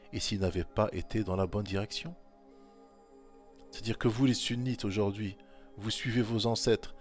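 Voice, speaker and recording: A man with a fairly low voice talks through scripture verses in a loose, informal way. He keeps coming back to the same points and presses them on the listener, talking straight to them. Faint music plays quietly underneath. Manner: insistent, repetitive exhortation. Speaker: religious commentator.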